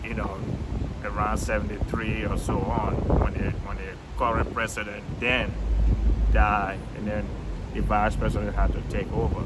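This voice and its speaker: An older man from Liberia with a Liberian accent, a retired DJ telling his life story in a relaxed interview, often chuckling and restarting phrases. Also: lowish voice, moderate pace, heavy background noise.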